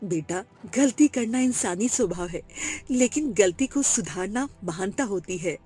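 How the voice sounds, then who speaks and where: A loving, forgiving mother in a cartoon village tale, played by a woman. Her husky voice rises and falls a lot and sounds tender and earnest. Light music sits underneath. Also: mid-range voice, animated, a little slow, mellow. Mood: forgiving, proud.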